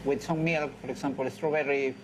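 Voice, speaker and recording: A man in his thirties from Peru with a Peruvian Spanish accent, a chef speaking halting English in an informal interview. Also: medium pitch, steady tone, poor audio. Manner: halting non-native English with repeated phrases. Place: indoors in a restaurant. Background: music.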